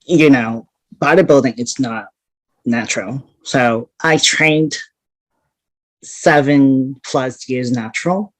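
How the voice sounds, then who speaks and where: A woman's voice: a bass voice, takes it slowly, dry recording, low-quality recording. Female interview guest from Hong Kong, straightforward and blunt, casual talk, dry recording. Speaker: interview guest, competitive bodybuilder.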